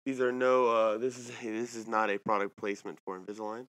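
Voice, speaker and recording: A young man in his twenties trades quick, teasing banter as a podcast co-host. He talks fast and keeps it loose and casual. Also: lowish voice, steady tone, a bit bright, dry recording, poor audio. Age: 20s. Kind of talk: casual chat.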